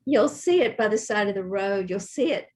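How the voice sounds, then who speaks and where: A woman in a club video chat, speaking in a measured, thoughtful way. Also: medium pitch, animated, a little mellow. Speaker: club member. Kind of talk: casual group chat.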